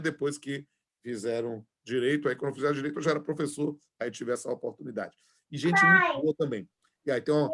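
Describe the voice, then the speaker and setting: A man in his forties with a Bahian accent, a law professor chatting informally to introduce himself to an online class over a video call, with many fillers. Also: mid-range voice. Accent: Bahian Portuguese. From Salvador, Bahia, Brazil. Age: 40s. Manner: chatty, filler-heavy, friendly. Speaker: law professor and labor judge.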